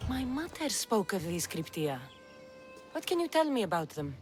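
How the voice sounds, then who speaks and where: A woman performs a young warrior woman with a Greek accent, steely yet devoted. Her delivery is measured and intense, with pitch that moves widely. It is video game drama with faint music behind. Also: big pitch swings, darkish tone, close to an everyday voice. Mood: determined, questioning. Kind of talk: video game cutscene acting.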